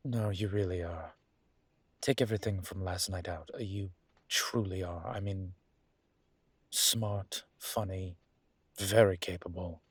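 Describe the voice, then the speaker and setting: A man playing a flirtatious, wry immortal demon professor in an intimate, conversational audio roleplay, with a low husky voice and a teasing, playful manner. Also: fairly even intonation, unhurried, long pauses, no room sound, full character voice. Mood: warm, admiring. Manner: teasing, playful, breathy asides.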